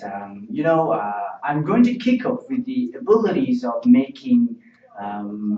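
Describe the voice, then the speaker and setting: A man's voice: medium pitch, warm tone. A young man whose first language is Uzbek, playing a job candidate in a mock interview and answering carefully in rehearsed English with many fillers. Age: young adult. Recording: distant, roomy, dull lo-fi sound.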